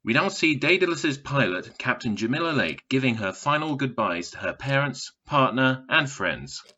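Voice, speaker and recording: A polished male news reporter in an audio drama. His voice is bright and projected, with formal announcer phrasing. The broadcast sound is a little dulled. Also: medium pitch, very animated, normal speed, lo-fi sound. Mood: formal, reporting. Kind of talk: scripted news broadcast commentary.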